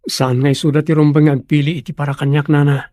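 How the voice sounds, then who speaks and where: Man acting an earnest Ilocano son, higher-pitched. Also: flat delivery, fast, mellow, no echo, clean studio sound, close to an everyday voice. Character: earnest, dutiful grown son, devoted suitor. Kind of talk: Ilocano radio drama acting.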